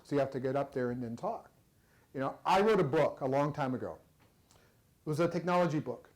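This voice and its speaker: A man's voice: mid-range voice, expressive, a little fast. A man giving a conversational workshop talk to an audience, chatty and repeating words as he goes. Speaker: presenter.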